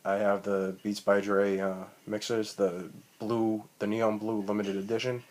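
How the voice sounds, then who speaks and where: Rambling profane wrestler, low flat voice. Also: male voice, unhurried. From Boston, Massachusetts. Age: 30s. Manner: rambling, profane, full of ums and restarts. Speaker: amateur wrestler. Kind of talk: rambling self-recorded vlog. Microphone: tablet built-in mic.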